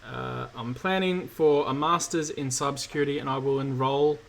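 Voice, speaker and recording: A man, slightly croaky from illness, hosting a relaxed live-stream Q&A and answering chat questions with many fillers. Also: mid-range voice, moderate pace. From Australia. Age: 30s. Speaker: cybersecurity streamer host.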